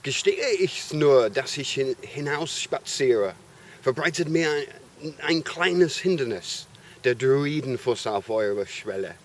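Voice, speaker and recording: A man voicing a scheming male devil in a slow, stagy theatrical reading. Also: mid-range voice, expressive. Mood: sly.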